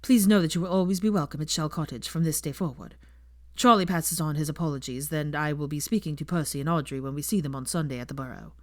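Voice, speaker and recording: A woman voicing a casual, apologetic young husband in an audiobook story, low-key and offhand. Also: fast talker, no echo, studio quality, close to an everyday voice. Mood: hasty apology.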